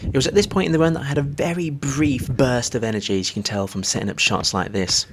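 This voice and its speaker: A man's voice: medium pitch, steady tone, fast. Casual man in his thirties, noisy recording. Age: early 30s. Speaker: vlogger, amateur long-distance runner. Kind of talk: casual running vlog talk and narration to camera. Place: outdoors on a coastal trail for much of it. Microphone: action camera mic. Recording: outdoor wind and ambient noise.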